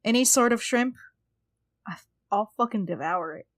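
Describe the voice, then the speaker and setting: A woman's voice: a little high, moderate pace, dry recording, studio quality. A woman chatting informally as a podcast player, swearing casually. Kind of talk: casual chat.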